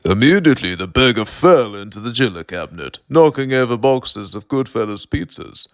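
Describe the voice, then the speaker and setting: A man's voice: medium pitch, animated, moderate pace. A British man narrating a mock bedtime story in an avuncular, mock-cosy way.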